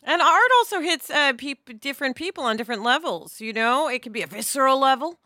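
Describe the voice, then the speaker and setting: A woman talking casually as a podcast host, animated and conversational, often checking that others agree. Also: high-pitched, brisk, dry recording, studio quality.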